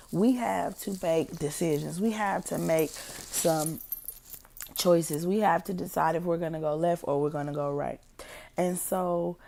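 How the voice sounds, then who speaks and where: A young woman hosting her own podcast while sick, her voice hoarse and partly gone, chatting folksily with listeners she calls family. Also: sits low, darkish tone. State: partially lost voice from sickness. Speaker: podcast host. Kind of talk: casual motivational podcast talk.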